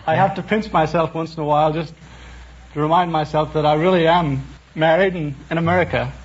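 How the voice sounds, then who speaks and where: A man's voice: fairly high pitch, steady tone, a little fast. A young Irish man answering questions as a contestant on an old radio quiz. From County Donegal, Ireland. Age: young adult. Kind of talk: interview answers. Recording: dull, faintly noisy 1950s radio recording.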